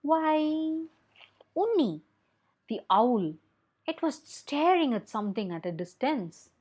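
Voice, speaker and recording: A young woman with an Indian accent telling a picture-book story to children, explaining as she goes and asking questions in an expressive way. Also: on the high side, slow pace, slightly rough. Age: young adult.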